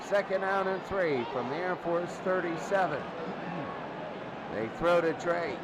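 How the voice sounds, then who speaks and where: A man's voice: high-pitched, a little slow. Football announcer man over roaring crowd. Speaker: play-by-play commentator. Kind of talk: live American football commentary. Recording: loud crowd and noise behind commentary. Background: loud crowd, stadium-like noise.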